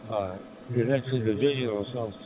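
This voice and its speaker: A man's voice: mid-range voice, fairly even intonation, moderate pace. Ham operator, man, ordinary voice, courteous and short, noisy dull signal. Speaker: radio amateur. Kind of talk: ham radio contact. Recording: muffled noisy radio audio.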